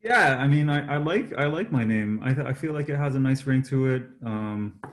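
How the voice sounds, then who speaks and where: A man with a mid-pitched voice answering interview questions as a musician guest. He talks casually and hesitantly, often repeating words as he finds his way. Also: expressive, normal speed. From Jordanian-born, Canada. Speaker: singer-songwriter guest.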